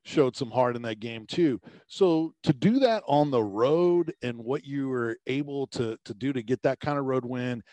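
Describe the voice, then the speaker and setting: A man from Tampa, a sports radio host, giving animated sports analysis as a podcast guest, his pitch rising on emphasis. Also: normal speed, few pauses, no room sound. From Tampa, Florida.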